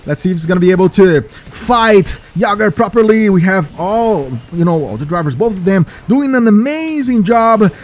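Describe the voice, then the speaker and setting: A man from Brazil with a Brazilian Portuguese accent, casting a live esports racing match with chatty, energetic hype for the audience. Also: high voice, normal speed, few pauses. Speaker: esports caster.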